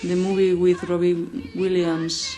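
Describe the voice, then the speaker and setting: A woman's voice: on the low side, little pitch movement, a little slow, over music. A woman chatting casually as a co-host of a show. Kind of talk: casual chat.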